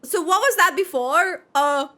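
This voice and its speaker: A woman game streamer giving casual reaction commentary, halting as she thinks aloud. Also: extremely high pitch, sharp tone. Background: game music.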